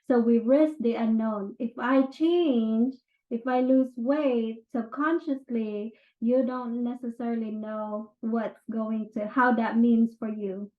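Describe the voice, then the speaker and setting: A woman's voice: on the high side, fairly even intonation, takes it slowly. A woman with a Filipino accent, explaining personal change in a casual, chatty way and often checking in with "right?". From Philippines. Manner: conversational, many fillers and "right?" check-ins. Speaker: self-improvement teacher. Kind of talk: casual explanatory talk to camera. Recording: tinny phone-like sound in an echoey room.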